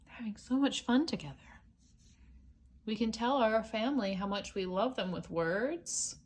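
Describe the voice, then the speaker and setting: A woman's voice: a little high, measured pace. A woman reading a children's picture book aloud, with simple explanatory asides for young listeners. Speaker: storybook reader.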